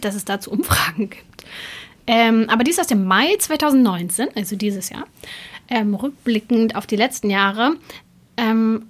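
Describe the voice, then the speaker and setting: A young woman co-hosting a podcast, chatting casually and explaining things in an easygoing way. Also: a little high. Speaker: podcast co-host.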